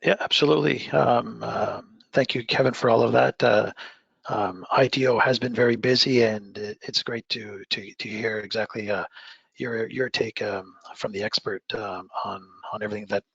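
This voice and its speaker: A man's voice: on the low side, fairly even intonation, normal speed, gravelly, a bit bright, slightly rough, dry recording, muffled recording. A man moderating a conference panel who speaks hesitantly, with many ums and uhs.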